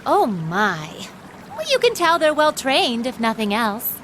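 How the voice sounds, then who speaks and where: A woman's voice: fairly high pitch, wildly swooping pitch, a little slow, a bit bright, slight background noise, full character voice. A woman playing a breezy, teasing young academy instructor in a video game, casual and wry.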